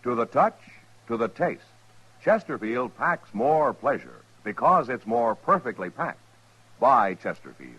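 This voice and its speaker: A man with a husky voice delivering a scripted 1950s radio advertisement in an emphatic sales style. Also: mid-range voice, big pitch swings, measured pace, a bit bright. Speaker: radio commercial announcer. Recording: dull old radio recording.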